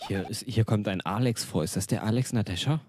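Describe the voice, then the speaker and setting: A man's voice: lowish voice, a little fast, darkish tone, dry recording, clean studio sound. A man co-hosting a live stream with casual banter.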